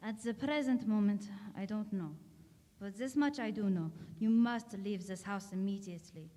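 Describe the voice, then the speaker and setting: A woman's voice: medium pitch, dark tone, close to an everyday voice. A woman playing a grave, authoritative doctor who investigates the supernatural, in a radio drama. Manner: authoritative, deliberate, brusque. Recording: long hall-like reverb.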